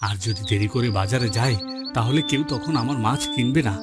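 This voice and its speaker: A man's voice: mid-range voice, fairly quick, lightly acted. A man playing a humble, hardworking village fisherman and husband in a cartoon, talking in a plain, homely, reassuring way. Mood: musing, worried. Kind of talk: Bengali moral-story cartoon dubbing. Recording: loud music bed behind the voice.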